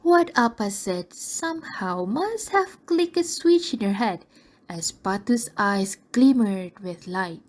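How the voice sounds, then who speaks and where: Slow-paced woman narrator. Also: medium pitch, expressive. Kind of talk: scripted storytelling narration for a radio drama.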